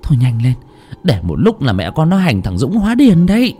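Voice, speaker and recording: A man voicing a gruff older village woman who practices folk exorcism, curt and urgent. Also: on the high side, wildly swooping pitch, brisk, dark tone, soft background music, pitched above the performer's own voice, full character voice. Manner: gruff, curt, whispering at times.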